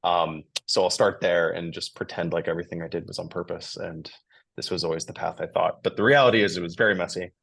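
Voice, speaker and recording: An American man in his forties talks through a relaxed podcast interview. His voice sits very low with a gravelly edge and some huskiness. He is chatty and full of filler words. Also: fast. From United States. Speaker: guest.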